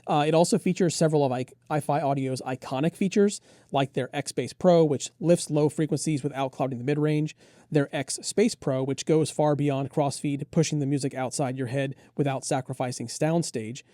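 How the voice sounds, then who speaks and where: A man's voice: a little high, fairly even intonation, moderate pace, dark tone, dry recording, clean studio sound. A man co-hosting a gear review, enthusiastically and conversationally running through detailed product specs. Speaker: audio gear reviewer and co-host.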